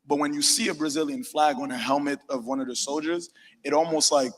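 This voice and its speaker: Relaxed man panelist, casual slang, long reverb of a hall. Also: on the high side, fairly quick. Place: event venue with a large hall. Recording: echoey hall.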